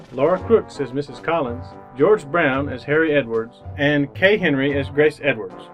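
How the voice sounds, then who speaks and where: A man's voice: on the high side, lo-fi sound. A man announcing in old-time radio style, reading scripted sponsor spots, narration and credits. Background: music.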